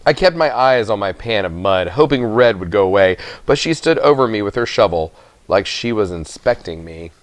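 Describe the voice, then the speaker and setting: A man with a fairly low voice narrates a children's story in the first person. His reading is very animated in pitch, and the recording is dry and close. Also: moderate pace, dry recording. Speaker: audiobook reader.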